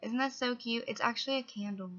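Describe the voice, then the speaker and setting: A woman's voice: a little high, quick pace, bright, forceful tone. A young woman chatting casually to camera in a rambling vlog update from home, sniffling from a cold, filling her talk with asides and fillers. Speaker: vlogger. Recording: dull lo-fi audio.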